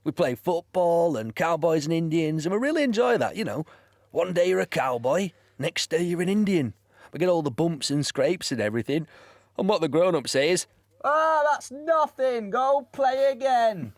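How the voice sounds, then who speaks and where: A man's voice: high-pitched, lively intonation, normal speed, no room sound. A cheerful young infantryman from a mining village. He chats through his memories in a plain colloquial way, recorded close and dry for an audio play. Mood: fond, lively. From Nottinghamshire mining village, England. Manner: chatty, colloquial storytelling. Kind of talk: audio play monologue, conversational reminiscence.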